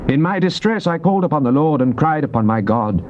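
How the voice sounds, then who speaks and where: A man's voice: fairly high pitch, lively intonation, a little mellow, natural voice. A man playing a solemn psalmist, dull tape. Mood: fervent. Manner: solemn, declamatory, measured. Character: devout psalmist, earnest man praying to God. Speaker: dramatized scripture reader. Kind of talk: dramatic theatrical scripture recitation. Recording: dull noisy cassette tape transfer.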